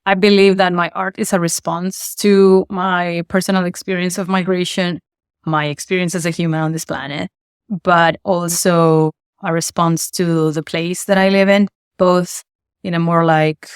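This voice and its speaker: A woman's voice: lowish voice, little pitch movement, normal speed, clean tone, dry recording, studio quality. A woman from Argentina, an artist explaining her work thoughtfully in an interview. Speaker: installation artist.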